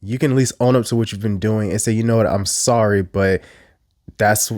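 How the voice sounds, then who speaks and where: A man's voice: fairly low pitch, steady tone, fairly quick, darkish tone, no room sound, clean studio sound. A congested man getting over a cold, chatting bluntly and casually as he recaps a reality show. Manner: chatty, blunt, a little crude. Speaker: YouTube commentator. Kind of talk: casual reaction commentary.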